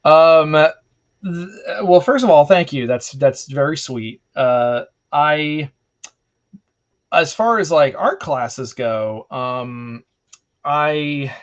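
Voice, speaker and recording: A man's voice: a little high, very slow. Rambling man in his thirties, lo-fi audio. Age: 30s. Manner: jokey, rambling, playful exclamations. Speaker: artist hosting an art livestream. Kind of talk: casual livestream chat while drawing.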